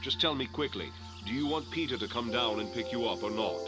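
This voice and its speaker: A man playing a calm, fatherly older telepathic mentor in a motion comic, speaking in a measured, paternal way. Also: mid-range voice, over loud music, natural voice. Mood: concerned.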